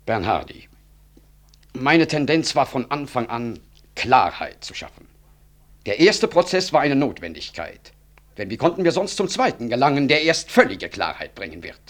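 An actor playing a smooth, self-important government minister in a 1960s German radio drama, suave, rhetorical and condescending. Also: male voice, medium pitch, sing-song, normal speed, natural voice. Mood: self-justifying.

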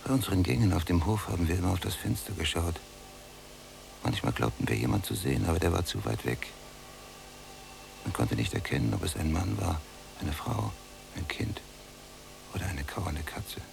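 An actor playing a brooding, embittered prisoner in a German radio drama, with a gravelly voice and a sardonic, often hushed delivery. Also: male voice, sits low, very animated, normal speed, long pauses, background noise, exaggerated voice. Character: brooding, embittered male prisoner, younger cellmate. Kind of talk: German radio drama acting, literary monologue.

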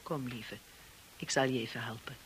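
An actress playing a calm, kindly sister-in-law in a radio drama, with a husky, soothing voice. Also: female voice, rumbling low, normal speed, full character voice, rough recording.

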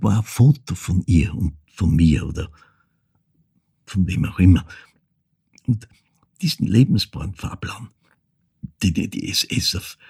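An older man in an intimate radio monologue. His voice is lowish and very raspy, recorded close and dry. He speaks haltingly and reflectively, repeating words and sinking toward a murmur as he recalls a troubled past. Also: dark tone, no room sound, exaggerated voice. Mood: wistful, subdued. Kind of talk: intimate first-person monologue in a radio play.

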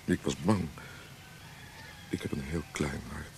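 An actor playing a roguish, self-doubting poet in a radio play, playful and smitten, with a hesitant way of speaking. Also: male voice, very deep voice, fairly even intonation, measured pace, music in the background. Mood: tender. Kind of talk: Dutch radio play acting. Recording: noisy old radio play recording.

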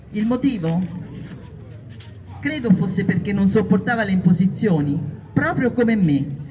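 A woman's voice: mid-range voice, noisy background. A woman giving a dramatic first-person reading of a written testimony, telling it as a story. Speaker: reader of partisan testimonies. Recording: distant, dull muffled sound.

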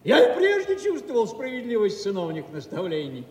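An actor playing a timid, rambling old father in a theatrical audio play, halting and repeating himself. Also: high-pitched, wildly swooping pitch. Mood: solemn, self-important. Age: older. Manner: hesitant, repetitive, flustered and halting. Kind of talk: theatrical stage acting of a Russian classic. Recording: echoey hall, distant mic, faint noise.

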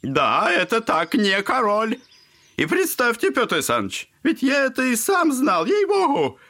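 An old buffoon of a father, pitched high and swinging wildly up and down. The voice is bright and sharp, full of fawning, theatrical mock courtesy, as in a radio play. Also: huge pitch swings, moderate pace, heavily acted. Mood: mock-apologetic. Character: garrulous, clownish old father, a self-declared buffoon. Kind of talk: audio drama acting.

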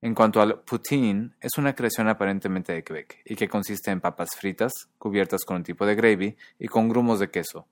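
A man hosting a podcast who explains things in a measured, scripted narration. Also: lowish voice, no echo. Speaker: podcast host.

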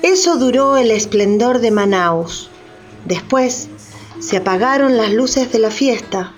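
Female narrator for radio drama, slightly high pitch, scripted storytelling over light music. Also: animated, takes it slowly.

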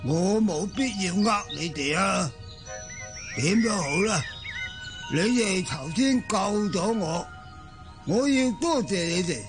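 Solemn old tribe shaman for radio drama, high pitched, really drawn out and theatrical. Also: animated, slow pace, pauses often, music in the background, heavily acted. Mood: earnest. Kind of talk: Cantonese radio drama acting.

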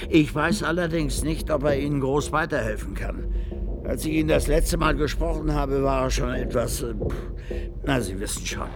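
An actor playing an older, gruff and cynical former Soviet state prosecutor in a radio drama, speaking coarsely and dismissively. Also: male voice, on the high side, moderate pace, music in the background, natural voice. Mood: dismissive, cagey.

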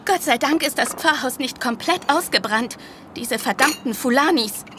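An actress playing an agitated, outspoken housekeeper in a radio play, exclaiming and blunt. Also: female voice, high-pitched, moderate pace, noisy background. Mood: scornful. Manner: excitable, exclaiming, outspoken and scornful. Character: agitated middle-aged woman, blunt and prejudiced.

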